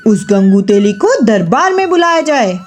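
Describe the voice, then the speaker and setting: A woman's voice: on the high side, sing-song, a little slow. Woman voicing a formal, commanding storybook king, lilting. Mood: probing. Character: proud, learned Indian king questioning his subject.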